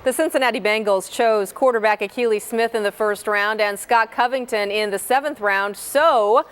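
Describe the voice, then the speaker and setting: A woman's voice: fairly high pitch, moderate pace, a bit bright, smooth. A woman sports anchor reading scripted sports news in a TV broadcast. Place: TV news studio. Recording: faint background noise, 1990s TV broadcast.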